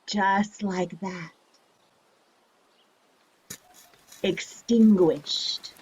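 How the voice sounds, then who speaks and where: Woman playing a slow-drawling, brassy older woman. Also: medium pitch, fairly even intonation, a little mellow, husky, low-quality recording. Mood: wry, weary. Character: brassy, flippant 65-year-old retired heroine, a mother. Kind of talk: dramatic fan-made audio acting.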